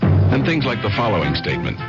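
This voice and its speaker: A deep-voiced man reads an old radio commercial as the announcer. The sound is dull and vintage, with music prominent behind him. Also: sing-song, fairly quick. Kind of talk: scripted radio beer ad read.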